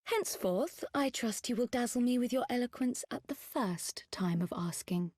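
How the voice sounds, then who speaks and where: A young scholar in a fantasy game, confident and perky, with formal, eloquent phrasing. Her voice sits fairly high and is recorded dry and close. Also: animated, moderate pace, darkish tone, no room sound. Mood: playful, teasing. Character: small, perky, teasing young woman scholar, articulate and confident. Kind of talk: fantasy video game acting.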